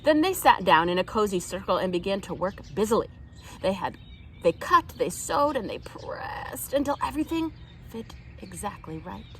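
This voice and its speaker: Animated woman reading a children's storybook. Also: medium pitch, moderate pace, slight background noise. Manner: animated, acts out the characters' lines. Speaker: storytime reader. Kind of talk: expressive read-aloud of a children's picture book.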